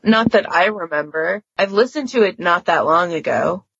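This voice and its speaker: Woman, casual podcast co-host, raspy edge, dry lo-fi sound. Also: on the low side, moderate pace, a bit bright, dry recording.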